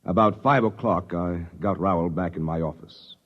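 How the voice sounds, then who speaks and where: An actor playing a cautious, self-justifying middle-aged American executive, formal and blunt, in 1950s radio drama style. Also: male voice, deep voice, moderate pace. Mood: formal, defensive. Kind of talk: 1950s radio drama acting, part testimony, part dialogue. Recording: dull vintage 1950s radio recording.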